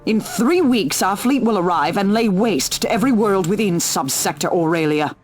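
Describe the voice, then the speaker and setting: Woman doing a icy inquisitor lady, mid pitch, stern, faint music under it. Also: animated, moderate pace, lightly acted. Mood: cold, resolute. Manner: formal, stern, contemptuous. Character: cold, threatening female human inquisitor.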